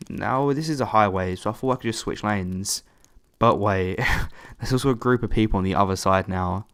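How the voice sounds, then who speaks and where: A man's voice: fairly low pitch, no room sound. A man reading a first-person story aloud in a plain, matter-of-fact way. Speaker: story narrator.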